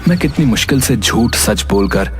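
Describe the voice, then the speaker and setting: A man playing a shrewd, soft-spoken village husband who explains himself earnestly in a cartoon moral story. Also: mid-range voice, expressive, normal speed, faint music behind, natural voice. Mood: earnest, persuasive. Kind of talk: animated Hindi moral story acting.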